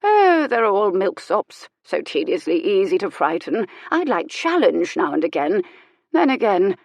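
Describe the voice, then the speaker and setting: A woman voicing a sharp-tongued, dying elderly noblewoman with a wry, imperious manner. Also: fairly low pitch, animated, moderate pace, no room sound. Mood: confiding. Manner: tart, teasing, grandly authoritative.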